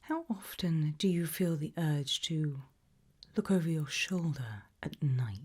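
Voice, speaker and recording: A woman softly whispering an ASMR roleplay, questioning the listener in a deliberate, gently ominous way. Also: deep voice. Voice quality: soft breathy whisper, mostly unvoiced.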